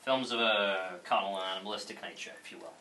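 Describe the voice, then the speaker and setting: A man's voice: fairly low pitch, steady tone, fast talker, sharp tone, a little hiss or hum, poor audio. A man playing a concerned, exasperated cinema worker who reasons with his friend in a hesitant, wry way in a comedy read. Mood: wry, insistent. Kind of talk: comedy script table read.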